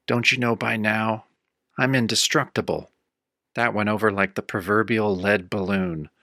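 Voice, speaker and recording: Man acting a wry hard-boiled hero, low voice. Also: fairly even intonation, moderate pace, bright, forceful tone, no room sound, clean studio sound. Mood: wry, weary. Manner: wry, hard-boiled first-person storytelling. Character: wry, battered tough-guy hero narrating his own pulp horror tale. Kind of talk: modern old-radio-style audio drama narration.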